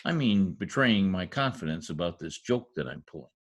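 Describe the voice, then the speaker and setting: A man's voice: low-pitched, expressive, normal speed. A man playing an eager, mischievous teenage schoolboy in an old-time radio comedy, with an earnest, gushing manner and flowery words. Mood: confiding, earnest.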